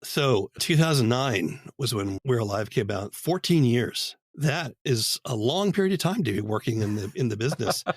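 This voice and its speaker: A man's voice: mid-range voice, lively intonation, normal speed, few pauses, breathy edge, no echo, clean studio sound. A man hosting an interview podcast, laying out his guest's work in a conversational way.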